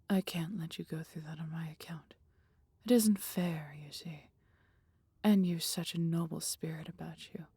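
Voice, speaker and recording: A woman voicing a mighty female dragon, proud yet caring, with breathy, husky tones and archaic, theatrical phrasing in a fantasy audio roleplay. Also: low voice, warm tone, no echo, exaggerated voice.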